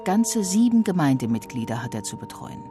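A narrator reading a scripted documentary voice-over in a measured, even way. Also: medium pitch, over music.

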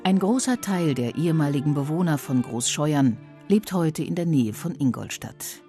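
A narrator reading a scripted documentary voice-over in a measured, even way. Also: medium pitch, soft background music.

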